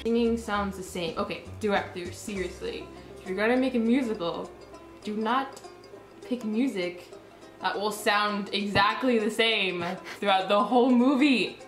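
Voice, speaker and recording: A woman telling a casual personal story with deadpan, sarcastic asides. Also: medium pitch, unhurried, roomy sound, soft background music. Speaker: storyteller recounting a personal anecdote.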